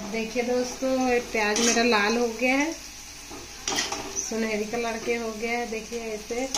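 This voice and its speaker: A middle-aged woman hosting a home cooking vlog, chatting to her viewers while she cooks and laughing often. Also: on the high side. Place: home kitchen. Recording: echoey kitchen with loud frying noise.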